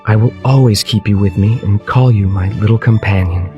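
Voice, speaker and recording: A man's voice: on the low side, brisk, highs cut off, soft background music, close to an everyday voice. Gallant young storybook prince, played by a man. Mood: admiring. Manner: gentle, courtly. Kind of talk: storybook character acting.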